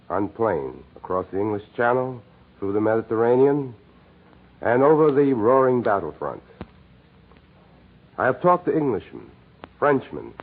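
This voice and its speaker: A man's voice: lowish voice, breathy edge. Emphatic American man, muffled 1940s radio address. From United States. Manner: earnest, emphatic, measured phrasing. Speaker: war correspondent. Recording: muffled, narrow-band 1940s radio broadcast.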